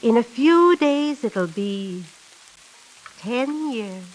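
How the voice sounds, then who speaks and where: An actress playing a wistful, secretive old landlady in a 1940s radio play, speaking softly and in a hushed way, on an old radio recording. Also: on the high side, lively intonation, drawn-out words, poor audio. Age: older.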